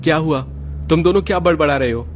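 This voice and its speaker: A protective young husband acted by a man speaks in a fairly high male voice, earnest and assertive. The delivery is melodramatic storybook dialogue. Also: fast talker, slight background noise, close to an everyday voice. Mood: questioning. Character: firm, protective young husband and dutiful son.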